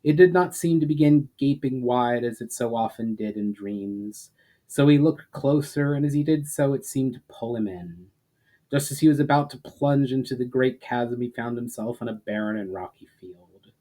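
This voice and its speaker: A man reading fantasy fiction aloud as a dramatic narrator, in a measured delivery with the odd stumble. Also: mid-range voice, dark tone. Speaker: author reading own story.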